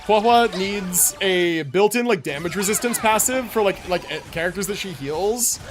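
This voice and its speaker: A man's voice: high-pitched, moderate pace, music in the background. A man livestreaming a game, commenting casually and answering chat, slangy and sweary as he thinks aloud.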